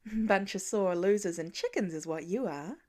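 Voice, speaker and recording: A woman's voice: mid-range voice, lively intonation, moderate pace, a little mellow, natural voice. A woman voicing a teasing, competitive mother with a dry, playful manner in a story reading. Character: teasing, deadpan mother who loves to win.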